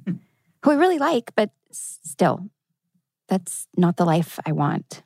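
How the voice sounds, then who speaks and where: A woman plays a torn, earnest teenage girl in a drama table read. The voice is mellow and creaky, of middling pitch, and recorded dry. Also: very animated, takes it slowly, no echo, exaggerated voice. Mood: sad, earnest. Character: torn, tender teenage girl saying goodbye.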